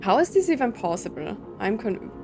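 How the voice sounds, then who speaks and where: A woman's voice: a little high, sing-song, moderate pace. A woman casually commentating on a story game playthrough, puzzled and thinking aloud as she goes. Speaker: game streamer. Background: game music.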